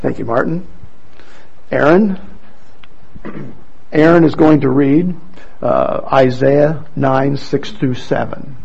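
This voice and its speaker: Guy addressing a congregation, slow and deliberate, gravelly edge, slightly muffled church recording. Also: mid-range voice, a little mellow. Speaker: church service leader introducing readers. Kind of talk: addressing a congregation, explanatory announcements. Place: church service, over a microphone.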